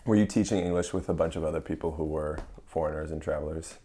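Low-voiced man hosting a podcast. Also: steady tone, fast talker, warm tone. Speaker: podcast host. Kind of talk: scripted podcast intro, then interview.

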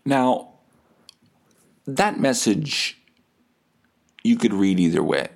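A man in his thirties from Winnipeg hosting a podcast, telling a comedic story from a message exchange in a casual, sweary, animated way. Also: mid-range voice, extremely slow, creaky. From Winnipeg, Canada. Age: 30s. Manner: casual, sweary, animated when quoting dialogue. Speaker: podcast host.

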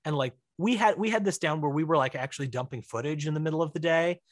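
A man speaking as an interview guest, telling behind-the-scenes filmmaking stories in a casual, animated, chatty way. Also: on the high side, quick pace, no echo, clean studio sound.

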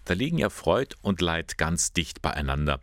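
Low-voiced male interviewer. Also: big pitch swings, normal speed, a bit bright. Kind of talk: conversational interview questions.

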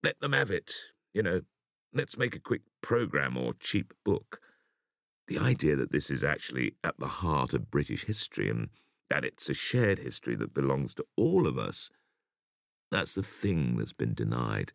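A man with an extremely low, creaky voice reads an audiobook essay. His delivery is measured and emphatic, with deliberate pauses and a lively rise and fall in pitch. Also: dry recording, clean studio sound. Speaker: audiobook reader. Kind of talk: audiobook reading of an interview essay.

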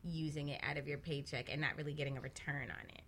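A low-voiced woman with a bright, forward tone joins a casual panel discussion. She is agreeable, often affirming the others before adding a point of her own. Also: steady tone, quick pace.

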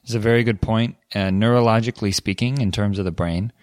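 A man co-hosting a podcast, telling stories in a relaxed, casual way with frequent fillers. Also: fairly low pitch, fast talker, dry recording, studio quality. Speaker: podcast co-host.

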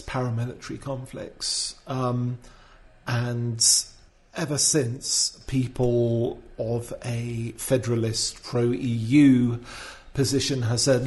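A man at mid pitch talks through political ideas on a discussion podcast. He thinks aloud as he speaks, stopping and restarting often and filling his pauses with ums. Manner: thoughtful, hesitant, many fillers and restarts. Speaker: podcast guest, writer. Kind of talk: casual discussion.

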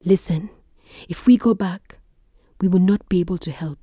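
A woman's voice: mid-range voice, steady tone, normal speed, mellow, husky, no room sound, studio quality, natural voice. A woman voicing a frightened but brave young girl, speaking with trembling urgency. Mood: fearful.

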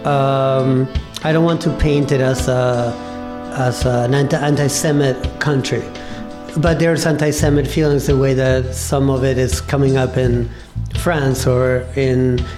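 A man in his fifties from Argentina, telling his life story in an interview with a thoughtful, halting manner and many pauses. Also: mid-range voice, level tone, music in the background. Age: 50s. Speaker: interview guest, cafe owner. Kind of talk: reflective personal storytelling.

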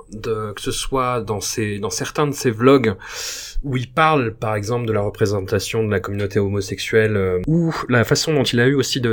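A man in his thirties co-hosting a casual conversation, talking thoughtfully and stammering on repeated words. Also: medium pitch. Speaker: podcast co-host.

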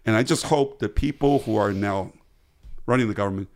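A man's voice: fairly low pitch, fairly quick, slight background noise. A middle-aged man born in the US and raised in Taiwan, a guest economist answering interview questions with emphasis, often repeating words as he starts. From born in the US, grew up in Taiwan.

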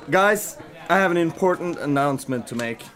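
A man playing an earnest, excitable young rock band member in an amateur radio play. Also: fairly high pitch, normal speed.

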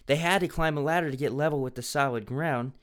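A young man reads a cheesy children's mystery aloud with mock drama, throwing in sarcastic asides. His voice sits a bit high for a man and comes through smooth and clear. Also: fast. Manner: sarcastic, laughing at the text. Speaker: reader of a children's mystery book.

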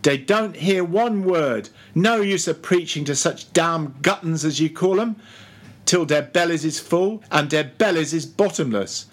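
A man voicing a grumbling old ship's cook who preaches in heavy dialect with a shrill voice, for a storybook reading. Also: measured pace. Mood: weary, exasperated.

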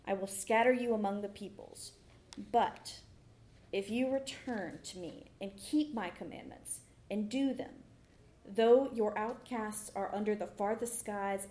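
A woman's voice: medium pitch, mellow. A woman reading scripture aloud in a formal style at a church service. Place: church sanctuary during a service. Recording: echoey church room.

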